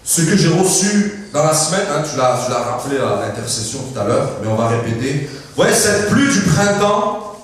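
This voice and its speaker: Francophone male preacher, high-pitched and emphatic, in a very reverberant hall and heard from a distance. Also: normal speed. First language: French. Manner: emphatic preaching with call-and-response and frequent hallelujahs; lively pitch swings, drops to quiet asides and jokes. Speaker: pastor. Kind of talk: Pentecostal sermon. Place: large church hall. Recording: very echoey hall, mic at a distance.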